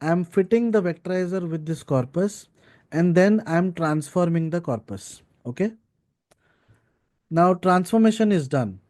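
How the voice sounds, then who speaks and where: A man's voice: sits high, animated, a little slow, darkish tone. A man teaching an informal live coding class, explaining step by step and checking in with short tags like right and okay. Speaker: data science instructor.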